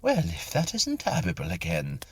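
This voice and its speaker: A man's voice: deep voice, wildly swooping pitch, moderate pace, breathy edge, heavily acted. A man voicing a whimpering, wheedling female medium, a fraud, in a storybook reading. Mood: scolding. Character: sly middle-aged female fraudulent medium, whimpering and pleading.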